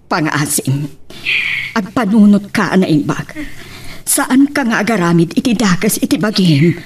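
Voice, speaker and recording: A woman's voice: medium pitch, expressive, unhurried, darkish tone, natural voice. A woman playing a concerned older mother, reproving and advising, in a radio drama. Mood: advising, worried. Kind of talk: melodramatic radio drama acting.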